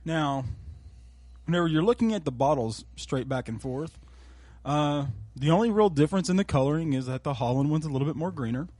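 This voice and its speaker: A man co-hosting a casual podcast, leading the conversation in an easygoing, drawn-out way. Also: a little high, no echo. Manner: relaxed, drawling, leads the segments. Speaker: podcast co-host. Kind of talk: casual chat.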